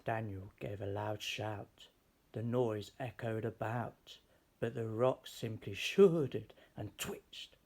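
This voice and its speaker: A man reading a rhyming children's story aloud in an expressive, theatrical, measured way. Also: fairly low pitch, creaky. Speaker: storybook reader.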